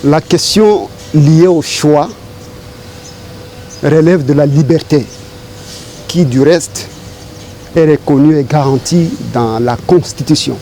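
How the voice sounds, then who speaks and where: A middle-aged man from Congo answering interview questions in a deliberate, formal, rhetorical way. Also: a little high, mellow, slight background noise. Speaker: interview guest.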